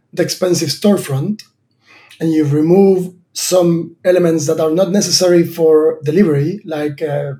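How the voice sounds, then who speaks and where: Thoughtful Argentine-born founder, mellow voice. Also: male voice, on the high side. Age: 30s. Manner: thoughtful, many fillers and restarts. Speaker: startup founder and guest. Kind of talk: interview answers.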